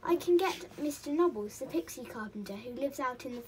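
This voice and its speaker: A woman's voice: high voice, expressive, brisk, a little mellow, a little hiss or hum. A woman voicing a clever, mischievous male pixie in a children's storybook. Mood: reassuring, scheming.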